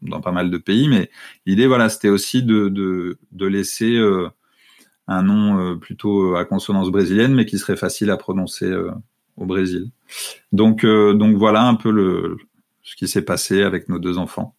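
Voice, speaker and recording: Low-ish guy in his 30s, lots of euh and restarts, chatty interview guest. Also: steady tone, normal speed, darkish tone. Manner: hesitant, many fillers and restarts. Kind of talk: conversational interview.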